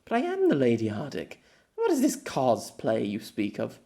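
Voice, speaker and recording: A man's voice: medium pitch, wildly swooping pitch, normal speed, creaky, mellow. A man voicing an easily flustered young warrior maiden who speaks in formal, archaic, theatrical phrases. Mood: earnest, puzzled.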